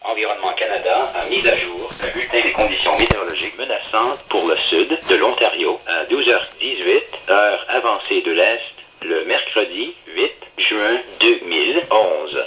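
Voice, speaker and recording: A man's voice: fairly low pitch, fairly quick, hardly any pauses, bright, forceful tone, a little hiss or hum, low-quality recording. A synthetic man's voice formally reading an automated, scripted weather bulletin as a radio announcer.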